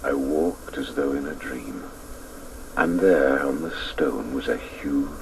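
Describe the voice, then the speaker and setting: An actor narrating a radio play as a man recalling his boyhood, in a hushed, grave, reflective way. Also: very deep voice, takes it slowly, vocal fry, slight background noise, heavily acted, poor audio. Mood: tense, hushed.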